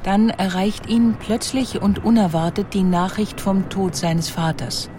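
A woman narrating a scripted biographical documentary in a measured, articulate manner. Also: mid-range voice, fairly even intonation, background noise.

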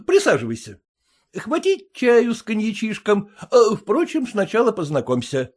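A man's voice: high-pitched, wildly swooping pitch, a little slow, a little mellow, pitched above the performer's own voice, full character voice. A man voicing a hearty, boisterous newly rich man playing host to friends.

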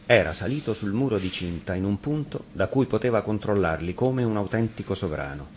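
A man's voice: lowish voice, expressive, brisk, darkish tone. A man narrating a scripted fairy tale dramatically, as a storyteller. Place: radio studio. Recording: faint background noise.

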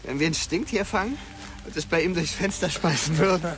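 Man playing a high-voiced stoned teenage prankster. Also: brisk, background noise, lightly acted. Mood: giddy. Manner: slangy, youthful. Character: stoned, goofy, excitable teenage boy prankster. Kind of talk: naturalistic radio play dialogue.

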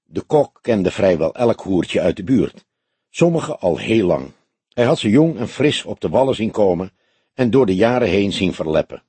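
A man narrates a crime novel in a scripted audiobook style. His voice is very husky and fairly low, with lively intonation. The sound is dry, with little room in it. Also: moderate pace, no echo.

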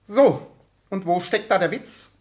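A strict, barking schoolteacher in a radio comedy. His pitch leaps about as he gives clipped, commanding phrases at a slow, deliberate pace, with a sarcastic edge. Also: expressive. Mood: sardonic, demanding. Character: strict, barking male gym and mathematics schoolteacher. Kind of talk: comedic German radio play acting.

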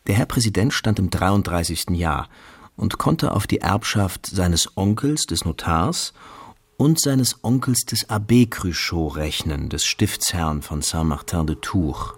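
A man's voice: low-pitched, expressive, a little slow, breathy edge. A man delivering scripted literary narration in a radio drama.

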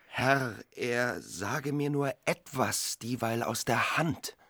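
A man's voice: mid-range voice, takes it slowly, sharp tone, no room sound, clean studio sound, exaggerated voice. A man acting a proud, hot-tempered army lieutenant in a radio drama, speaking in formal, archaic, pressing phrases. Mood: insistent, courteous.

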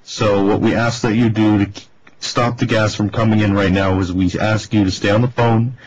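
A man playing an urgent, insistent hotel staffer on a prank phone call, halting and polite in address. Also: lowish voice, level tone, breathy edge. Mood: official, serious. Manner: halting, repeatedly addressing the listener as ma'am. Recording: dull, noisy telephone recording.

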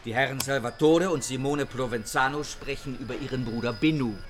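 A man's voice: mid-range voice, brisk, slight background noise, lightly acted. Keen police investigator, played by a man. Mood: eager, businesslike. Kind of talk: radio crime drama acting.

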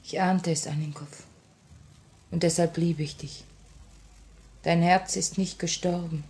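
An actress in a 1950s German radio drama playing an anxious but composed female revolutionary, speaking in an earnest, measured, solemn way. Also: fairly low pitch, steady tone, darkish tone, slightly rough. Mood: tender, solemn. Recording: old 1950 radio play recording.

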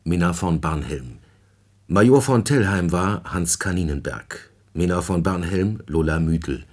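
Deep-voiced male radio announcer. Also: expressive, a little slow, slight background noise. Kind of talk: formal 1950s cast announcement.

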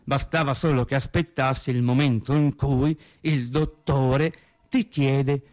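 A male actor playing a wry storyteller recalling boyhood with childlike logic, in an expressive, conversational theatrical monologue. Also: medium pitch, a little slow, natural voice. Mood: scheming. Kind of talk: theatrical first-person radio monologue.

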